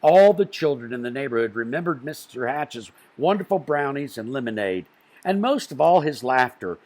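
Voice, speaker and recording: A man reads a children's picture book aloud. His mid-range voice moves through wide rises and falls, and he phrases each beat deliberately. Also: mid-range voice, big pitch swings, a little mellow. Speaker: children's storyteller.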